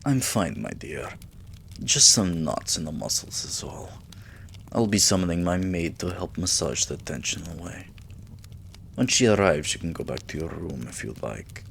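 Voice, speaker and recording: A man playing a regal, possessive, doting vampire king in an intimate ASMR roleplay, with lordly, drawn-out phrasing and murmurs. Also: deep voice, big pitch swings, vocal fry, a bit bright, slight background noise, heavily acted. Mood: fond, commanding.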